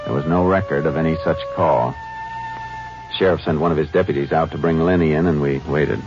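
An actor playing a calm, matter-of-fact Texas Ranger who also narrates, in a 1950s radio drama. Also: male voice, very deep voice, fairly quick. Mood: narrating. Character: steady, no-nonsense male Texas Ranger investigator. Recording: dull old radio broadcast with music.

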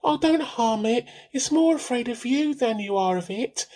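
A man's voice: extremely high pitch, moderate pace. A man, playing a timid, comically earnest, melodramatic husband in a radio play. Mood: dark, melodramatic. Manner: pompous, excitable. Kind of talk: amateur radio play dialogue.

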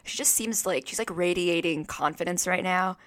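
A woman's voice: on the low side, moderate pace, a bit bright, no echo. A woman co-hosting a casual podcast, bubbly and rambling with lots of "like.". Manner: bubbly, rambling, many "like"s and restarts. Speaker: podcast co-host. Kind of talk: casual chat.

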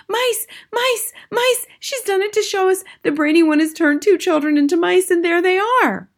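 Shrill, very high excitable witch. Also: normal speed. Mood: shrieking, excited. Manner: shrieking, crying out, gushing. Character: shrill, excitable mob of fawning, bloodthirsty witches. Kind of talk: audiobook children's story characters.

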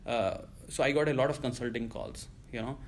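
A man's voice: mid-range voice, fairly even intonation, a little fast, slight background noise. A man in his thirties from India with an Indian accent, telling his story in a casual interview, cursing often and rambling with fillers. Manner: profane, rambling, lots of you know fillers. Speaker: guest.

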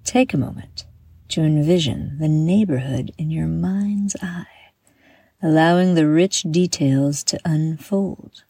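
A woman guiding a meditation from a script in a soothing, measured manner, with breathy exhaled phrases. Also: low voice, warm tone, no echo, clean studio sound. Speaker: meditation guide and host. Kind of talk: guided meditation, scripted narration.

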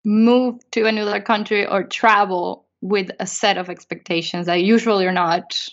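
A woman's voice: mid-range voice, steady tone, moderate pace. A woman interviewer asking rambling questions full of likes and restarts. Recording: dull lo-fi sound.

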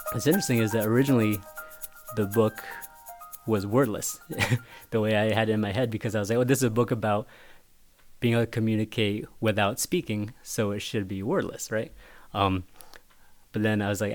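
A man whose first language was Vietnamese, a picture book author talking casually in an interview, thoughtful and halting with many restarts. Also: on the low side, steady tone, faint music behind.

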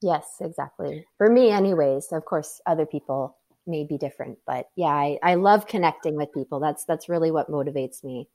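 A Canadian woman in her twenties, chatting as a guest in an interview, upbeat and quick to laugh. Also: deep voice, warm tone. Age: 20s. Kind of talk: casual interview chat.

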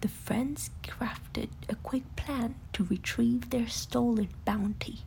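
Slow, creaky woman storyteller. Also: fairly high pitch, a little mellow, in a whisper. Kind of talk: scripted children's bedtime story narration.

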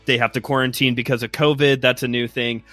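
A man's voice: mid-range voice, level tone, quick pace, pushed and bright, no room sound, soft background music. A man in his twenties with a Chicago accent, telling a friendly, rambling story as a guest host on a podcast. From Chicago area, US Midwest. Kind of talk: casual podcast chat.

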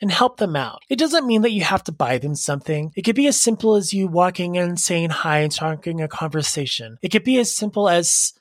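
A podcast host with a raspy voice, telling a heartfelt story and giving advice in a casual, rambling way. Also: medium pitch, expressive, fairly quick, few pauses, no echo, clean studio sound. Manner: earnest, heartfelt, rambling with fillers. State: raspy voice today.

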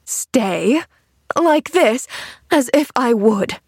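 Woman playing a scornful, indignant high-voiced aunt. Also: animated, extremely slow, a little mellow, husky, dry recording, clean studio sound, heavily acted. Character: angry, mortified, stern aunt.

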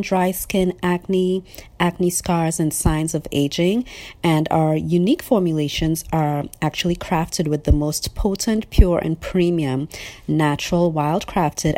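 A woman in her thirties from Trinidad and Tobago speaks as an interview guest. Her voice sits low and mellow. She answers in an earnest, measured way. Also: no room sound. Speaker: guest, business owner.

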